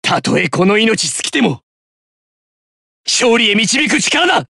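A man's voice: high-pitched, big pitch swings, slow, gravelly, sharp tone, dry recording, studio quality, full character voice. A man playing a noble, devoted young knight in an anime game, earnest and solemn. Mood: solemn devotion. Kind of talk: anime game battle acting.

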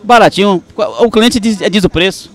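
Guy middle aged, high voice, farmer at his stall, short answers. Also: normal speed. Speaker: farmer and market vendor. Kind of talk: brief interview answers. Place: outdoor market stall. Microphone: handheld reporter microphone.

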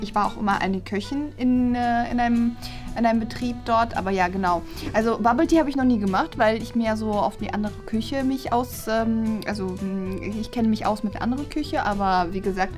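A woman in her twenties playing a blunt, money-minded job applicant in an improvised comedy sketch, halting and repetitive. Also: a little high, a little mellow, close to an everyday voice. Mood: hesitant, eager. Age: 20s. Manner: halting, repetitive, self-correcting. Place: small bright office room. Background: clear background music.

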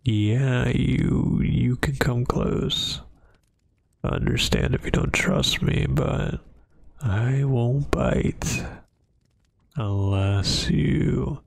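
A man voicing a brooding, menacing yet hesitant werewolf in an ASMR roleplay, with a gravelly, breathy, half-whispered voice and halting delivery. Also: mid-range voice, a little mellow, exaggerated voice. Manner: slow, halting, brooding, with long pauses. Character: dominant male werewolf, menacing yet hesitant, fighting hungry cravings.

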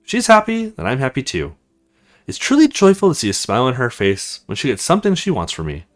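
Casting: man reading as devoted young female servant in a visual novel game, meek, earnest, with very animated pitch. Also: quick pace, soft background music. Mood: serene, submissive.

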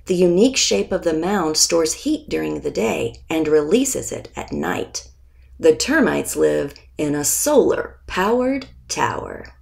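A woman narrates a children's nonfiction picture book in a fairly low voice with some gravelly creak. She reads very slowly and deliberately, giving expression to the text and pausing before key words. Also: sing-song, darkish tone. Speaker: author reading aloud.

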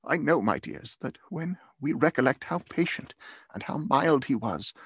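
A man's voice: mid-range voice, animated, normal speed, breathy edge, no echo, voice pushed up. A man playing a tender, grieving poor father. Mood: tender, solemn. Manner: earnest, emotional, gently rambling. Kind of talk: storybook character voice in audiobook.

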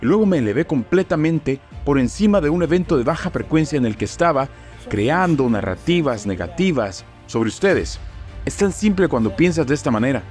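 A man's voice: fairly high pitch, very animated, brisk. A man giving a dubbed motivational speech in an earnest, emphatic tone, recorded as a studio voice-over. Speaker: motivational speaker. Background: soft background music.

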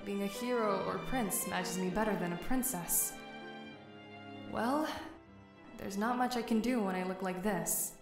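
Female actor as princely teen girl, manga drama, slow pace, understated, hesitant, polite, music behind. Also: close to an everyday voice. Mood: reflective. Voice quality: rather low voice. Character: cool, princely, reserved teenage high-school girl. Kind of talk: manga fandub acting with inner narration.

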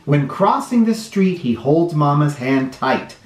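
Children's read-aloud host: male, middle-aged, expressive and slow-paced, drawing out phrases, recorded in a roomy space. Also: fairly high pitch. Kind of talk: animated storybook read-aloud for kids. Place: small bright living room or playroom, indoors. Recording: roomy indoor echo.

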